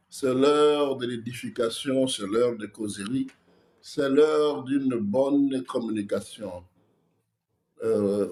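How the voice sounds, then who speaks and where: A man's voice: mid-range voice, darkish tone. A man with a Congolese French accent, a pastor with a cold, greeting viewers by name on a livestream before his sermon. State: has a cold, coughing.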